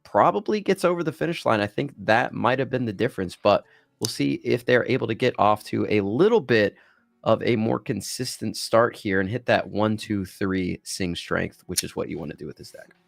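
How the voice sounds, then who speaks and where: A man's voice: lowish voice, normal speed, vocal fry, no room sound, clean studio sound, faint music behind. A man co-commentating on a card game, giving analysis while still getting over a bad cough.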